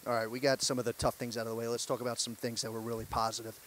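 A young man hosting a post-game show, asking upbeat, brisk questions on a handheld mic. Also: mid-range voice, flat delivery, fairly bright tone, a little hiss or hum. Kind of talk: sports interview. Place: courtside set in an empty arena.

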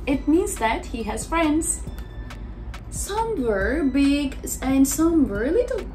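High-pitched young woman reading slowly to children. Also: sing-song, warm tone, faint music behind, rough recording. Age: young adult. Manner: exaggerated, simple short phrases, reacting to the pictures. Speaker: children's story reader. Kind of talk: expressive picture-book read-aloud with asides to children.

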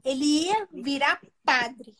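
A woman's voice: high voice, lively intonation, slow, bright, forceful tone. A woman in her forties from Bahia with a Bahian accent, a guest on a home video call who tells stories and laughs easily. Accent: Bahian Brazilian Portuguese. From Bahia, Brazil. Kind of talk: casual live chat interview. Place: living room at home. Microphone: phone or webcam on a video call.

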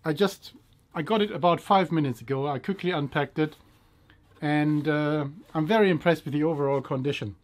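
A man in his fifties giving a casual gear demo as a hobbyist host, pausing hesitantly mid-sentence. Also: fairly high pitch. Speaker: radio hobbyist and video host. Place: small indoor room full of radio gear. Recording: dull lo-fi sound with loud radio noise.

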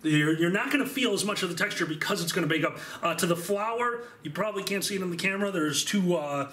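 A man's voice: high voice, animated, fast talker. Joking man filming in his kitchen. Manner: joking, offhand, rambling with fillers. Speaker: home cooking vlogger. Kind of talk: casual cooking demonstration. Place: home kitchen, indoors. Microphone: far camera. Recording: echoey kitchen, distant mic, loud mixer noise.

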